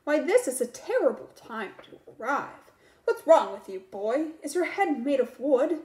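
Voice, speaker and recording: A woman's voice: high voice, very animated, normal speed, darkish tone, reverberant. A woman voicing a strict female schoolteacher who scolds a late pupil. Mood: scolding. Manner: scolding, demanding.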